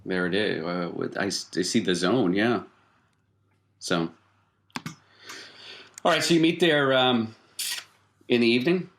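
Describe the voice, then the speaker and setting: A man with a low voice acts as game master at a tabletop session. He talks conversationally, setting out scenes and putting questions to the players. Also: lively intonation, a little slow, long pauses, a bit bright, slight background noise. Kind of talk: improvised tabletop game narration.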